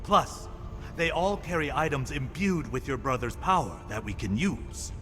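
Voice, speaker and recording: An actor playing a genial, loyal male craftsman and mentor with a formal, expressive manner in a video game cutscene. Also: mid-range voice, moderate pace, lightly acted. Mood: encouraging. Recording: hall reverb, music behind.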